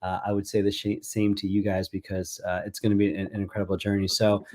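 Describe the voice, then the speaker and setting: A man's voice: fairly low pitch, completely flat delivery, fast talker, darkish tone. Enthusiastic male interview host. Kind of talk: casual interview hosting.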